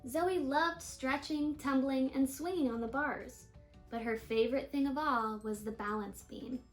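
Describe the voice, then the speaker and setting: A woman with a high voice reads a children's picture book aloud. Her delivery is animated, drawing out important words for effect. Faint music plays along with the reading. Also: measured pace. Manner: animated storytelling, stressing and stretching key words. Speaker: storybook reader.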